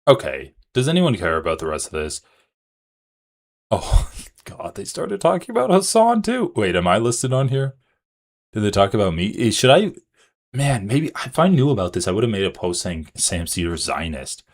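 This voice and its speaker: A man's voice: fairly low pitch, sing-song, moderate pace. A man hosting a political livestream, talking in a profane, sarcastic, casual way. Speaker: livestream host.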